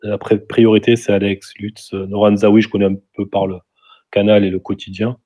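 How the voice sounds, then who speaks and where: A man's voice: lowish voice, level tone, a little fast, warm tone. A man talking easily as a guest on a radio show. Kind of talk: radio chat.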